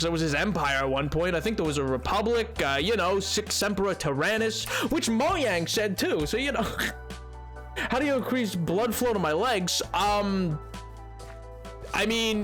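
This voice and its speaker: A young man with a high, lively voice talks loosely to his stream chat while playing. He rambles, restarts his sentences and swings his pitch up and down a lot. Soft music plays behind him. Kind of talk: casual gaming stream chat.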